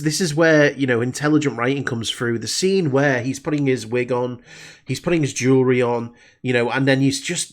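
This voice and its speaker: Bunged-up British man rambling casually. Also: medium pitch. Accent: British English. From Britain. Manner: rambling, many fillers and restarts. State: slightly congested from a cold. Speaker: reaction video host. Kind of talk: casual review chat.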